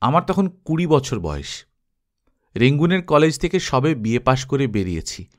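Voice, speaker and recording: A man's voice: mid-range voice, sing-song, normal speed, close to an everyday voice. Male schoolmaster for a storybook, acted by a man narrating, reminiscing, mid-range voice. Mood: nostalgic. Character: male schoolmaster recalling his adventurous youth.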